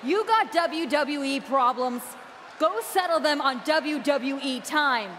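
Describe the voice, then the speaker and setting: A woman's voice: sits high, animated, takes it slowly, fairly bright tone, a little hiss or hum, exaggerated voice. An actress voicing a bitter, jealous young woman who runs an indie wrestling promotion, talking in a cold, taunting way in a video game cutscene. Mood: defiant. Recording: roaring arena crowd behind.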